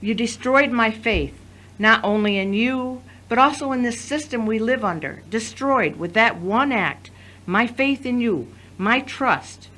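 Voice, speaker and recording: A woman's voice: a little high, animated, a little slow, sharp tone, heavily acted, low-quality recording. A woman playing a betrayed, resolute wife confronting her husband in an audio drama. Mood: hurt, reproachful. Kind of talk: scripted audio drama acting.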